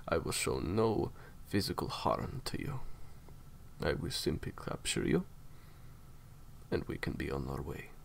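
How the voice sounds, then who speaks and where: A man's voice: rumbling low, sing-song, unhurried, creaky, long pauses, slight background noise, heavily acted. A man playing a menacing, cold villain in an audio roleplay, speaking in formal, theatrically polite threats. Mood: smug, courteous. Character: menacing, courteous male villain, cold captor. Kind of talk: roleplay audio drama monologue.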